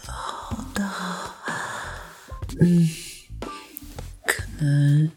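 A man playing a shy, muddled, sweet young dentist in an audio drama, soft-spoken and hesitant, with a Taiwanese Mandarin accent. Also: a little high, huge pitch swings, very slow, over music, full character voice.

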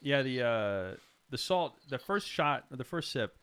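A man's voice: medium pitch, animated, a little slow, a bit bright, smooth. A man chatting casually as a podcast host, with wry, teasing and sometimes profane banter. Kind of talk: casual banter, beer tasting chat.

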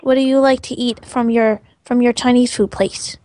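High-voiced woman making a prank call. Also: fairly even intonation, normal speed, darkish tone, no echo. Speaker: prank caller. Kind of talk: casual prank phone call.

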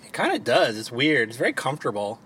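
A man's voice: medium pitch, wildly swooping pitch, fast talker, fairly bright tone, a little hiss or hum. A man with a Baltimore accent hosting a casual podcast, joking and swearing freely. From Maryland. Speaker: podcast host. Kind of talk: casual chat. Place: parked car. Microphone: home podcast mic.

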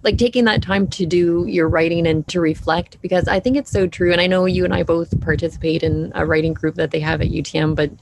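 A woman interviewer chatting casually, affirming and warm toward her guest. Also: fairly low pitch, fairly even intonation, a little fast, vocal fry, few pauses. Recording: noisy recording with clicks.

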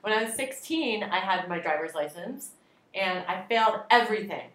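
A woman's voice: mid-range voice, animated, normal speed, fairly bright tone. Rambling self-deprecating woman in echoey room. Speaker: podcast co-host. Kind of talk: casual chat.

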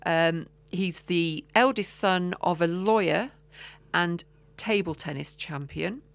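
A woman's voice: lowish voice, takes it slowly, creaky, no echo. A woman co-hosting a podcast in casual chat, often trailing off with ums and restarts. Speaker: podcast co-host.

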